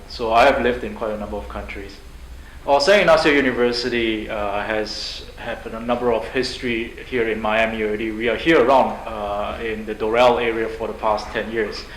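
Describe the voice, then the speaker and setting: Upbeat man in an echoey room. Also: medium pitch. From Singapore. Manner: upbeat, fluent with frequent uh fillers. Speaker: university president. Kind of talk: interview answers. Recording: echoey room, faint noise.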